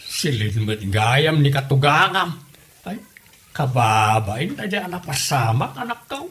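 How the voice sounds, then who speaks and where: Man acting as a slow, aggrieved old Ilocano father. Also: mid-range voice, sing-song, fairly bright tone. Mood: grumbling. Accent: Filipino, Ilocano. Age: older. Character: aggrieved elderly father, fond of liquor, pleading innocence. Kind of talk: comedy radio drama acting.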